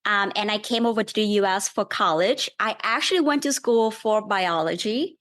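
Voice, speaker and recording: A woman's voice: mid-range voice, normal speed, fairly bright tone. Vietnamese-accented woman, friendly explainer. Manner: friendly, thoughtful, explains things step by step. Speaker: guest real estate investor. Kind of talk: casual podcast interview.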